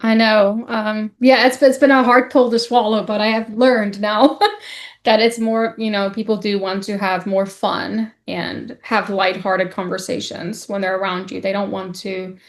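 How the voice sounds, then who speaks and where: A young woman, a podcast guest talking in an open, casual way and pausing often with ums and likes. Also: mid-range voice, flat delivery. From South Africa. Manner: hesitant, many ums and likes. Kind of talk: casual conversation.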